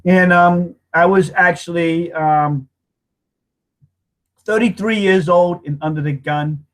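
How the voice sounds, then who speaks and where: A man in his fifties tells his own story in a voice that sits a little high for a man. He speaks with raw emotion, halting and repeating himself as he works through painful memories. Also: a bit bright, poor audio. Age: 50s. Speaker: host telling his life story. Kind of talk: personal testimony.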